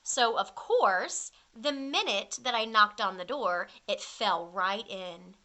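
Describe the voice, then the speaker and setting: A woman's voice: mid-range voice, animated, slow, bright, forceful tone. A woman voicing a self-justifying big bad wolf in a storybook, confiding and mock-innocent with exaggerated storytelling delivery.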